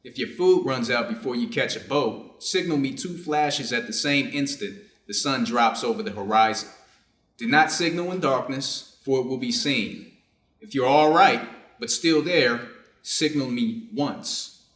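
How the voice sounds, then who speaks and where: A man's voice: mid-range voice, measured pace, fairly bright tone, lightly acted. A man playing a reserved, conflicted Japanese doctor in a staged reader's theater drama, formal and guarded in his phrasing. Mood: brisk, instructing. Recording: roomy, echoing space.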